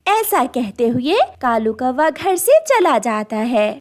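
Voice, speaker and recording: A woman narrates a children's story. Her voice is high, and she delivers scripted storytelling lines. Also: very animated, slow.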